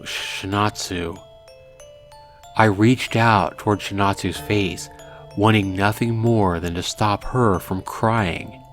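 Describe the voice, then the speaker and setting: A man hosting a let's play, reading a visual novel aloud in a dramatic way and adding hushed asides. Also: lowish voice, steady tone, takes it slowly. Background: game music.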